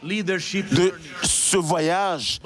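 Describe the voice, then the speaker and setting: A man interpreting a sermon live, in a steady, earnest and solemn way. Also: high voice, extremely slow.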